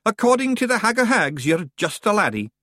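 A man voicing a gruff, weary little warrior in a storybook reading. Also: high voice, big pitch swings, a little fast, sharp tone, dry recording, studio quality, pitched above the performer's own voice, heavily acted.

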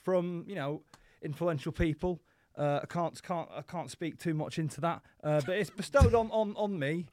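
A man from Yorkshire, a podcast guest chatting in character with deadpan, self-mocking comic asides. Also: on the high side, moderate pace, no echo. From Yorkshire, England. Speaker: podcast guest, wrestler and comedian.

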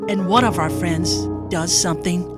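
A woman's voice: on the low side, normal speed. A woman on a morning radio crew, bantering casually and reading reviews with mock drama. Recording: loud music bed underneath. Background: loud music.

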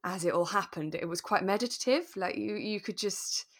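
A British woman co-hosting a relaxed chat about radio drama, expressive and enthusiastic in her delivery. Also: medium pitch, normal speed. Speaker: podcast co-host and actor.

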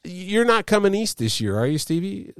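A man's voice: sits high, normal speed, smooth, dry recording, clean studio sound. A middle-aged man hosting a casual sports chat, rambling as he tells stories. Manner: animated, rambling storyteller. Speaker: podcast host.

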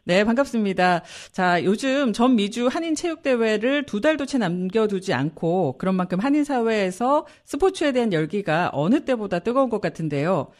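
Radio interviewer, polite broadcast manner, animated pitch. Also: moderate pace, few pauses. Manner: polite, smooth broadcast delivery.

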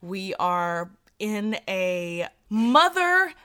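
A woman in her thirties hosting a podcast, talking casually and brightly with fillers. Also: medium pitch, very animated, extremely slow, clean tone, no echo. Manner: bubbly, chatty, with laughs and fillers. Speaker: podcast host. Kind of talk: casual interview chat.

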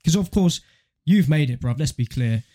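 A young man co-hosts a relaxed podcast chat. His voice sits a little high, and he talks in matey slang. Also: moderate pace. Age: young adult. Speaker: podcast co-host.

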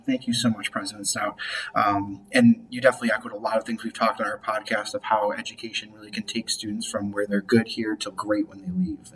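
A young man hosts a podcast in a casual, upbeat way, padding his questions with fillers. His voice has a husky, breathy edge and sounds set back from the mic. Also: fairly low pitch, a bit bright. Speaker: podcast host, admissions staff. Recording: voice picked up from a distance.

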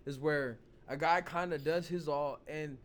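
A man hosting his own vlog, hoarse from losing his voice, rambling loosely in casual talk full of "you know" and "like". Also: on the high side, fairly even intonation, measured pace, a little hiss or hum. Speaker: vlog host. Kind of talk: casual opinion talk to camera.

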